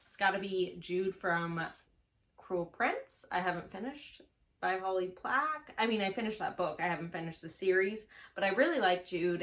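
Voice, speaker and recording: A woman's voice: lowish voice, fairly bright tone. A young adult woman vlogging in a casual, gushing chat with offbeat dry asides. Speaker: book vlogger. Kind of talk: casual book chat to camera.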